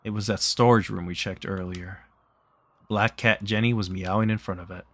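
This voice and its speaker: A man's voice: fairly low pitch, steady tone, normal speed, fairly bright tone, dry recording. A man reading a game's story aloud in a plain, conversational way, mixed with casual chat. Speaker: streamer reading a visual novel aloud.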